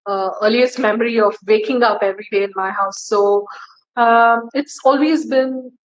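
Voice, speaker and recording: A woman's voice: fairly even intonation, moderate pace. Chatty woman singer on a muffled call. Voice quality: low, bass-leaning voice for a woman. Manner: chatty, giving friendly tips with many fillers. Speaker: singer, interview guest. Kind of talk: casual interview chat.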